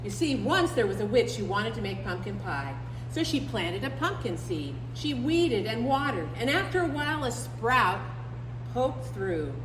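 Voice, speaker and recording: A woman librarian reading a picture book aloud to children, slow and animated, with big swings in her voice. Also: on the high side, echoey room, big-room sound, noisy background. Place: library room.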